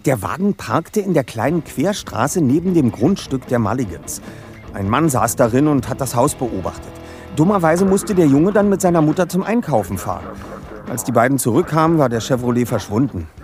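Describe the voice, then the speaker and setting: A self-assured young detective leader voiced by a man reports in a precise, formal, matter-of-fact way. The mid-pitched voice moves in lively contours, with faint music underneath, as in an audio drama. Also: normal speed, lightly acted. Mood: businesslike. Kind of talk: German audio drama acting.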